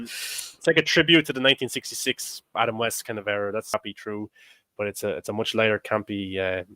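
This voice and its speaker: A man's voice: fairly low pitch, fairly bright tone, dry recording. A young man in his twenties hosting a casual film discussion, rambling through facts with lots of fillers and little laughs. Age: 20s. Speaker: host.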